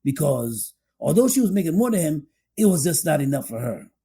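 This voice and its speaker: A man co-hosting a podcast, discussing relationships conversationally in a halting way, often repeating his phrases. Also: on the high side, sing-song, vocal fry, warm tone, slightly rough. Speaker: podcast co-host.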